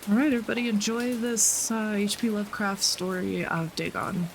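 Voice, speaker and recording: A woman's voice: mid-range voice, little pitch movement, moderate pace, creaky, a little hiss or hum, low-quality recording. A woman hosting a gaming channel, giving casual commentary and reading on-screen text aloud as she plays. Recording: loud music behind the voice. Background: music.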